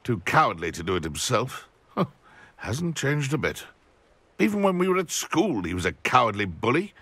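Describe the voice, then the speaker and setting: A man's voice: mid-range voice, sing-song, moderate pace, a bit bright, breathy edge. An actor with a British accent playing a spiteful, smug man with an old grudge in a video game, haughty and fussily articulate. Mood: indignant, bitter. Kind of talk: dramatic video game voice acting.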